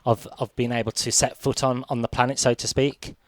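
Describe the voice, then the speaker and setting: Male British radio presenter, medium pitch, conversational interviewer with a halting, word-repeating delivery, recorded very dry. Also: little pitch movement, dry recording. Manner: hesitant, stammering word repetitions.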